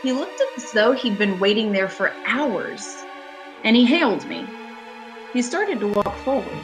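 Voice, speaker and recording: A woman's voice: a little high, lively intonation, unhurried. Woman as uneasy female motorist, haunted, dramatic first-person storytelling, amateur radio play, music behind. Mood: uneasy, reflective. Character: uneasy, haunted woman motorist driving alone cross-country. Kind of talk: amateur radio thriller acting.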